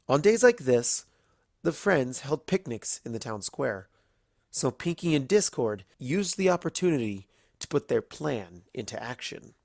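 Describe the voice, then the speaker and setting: A narrator reading scripted fan fiction as an animated storyteller, with dramatic pauses. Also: mid-range voice, no room sound, studio quality.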